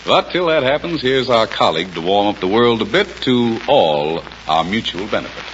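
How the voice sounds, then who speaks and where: A man's voice: lowish voice, very animated, normal speed, a bit bright. A man with a dry, wry manner, doing scripted 1940s radio comedy banter as host on an old broadcast. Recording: muffled, noisy 1940s radio recording.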